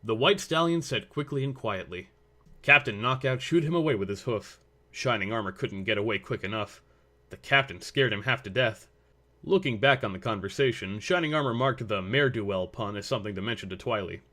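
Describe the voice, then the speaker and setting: A man's voice: fairly low pitch, lively intonation, a little fast, pushed and bright. A man reading scripted story narration as the narrator of a fan fiction audio drama.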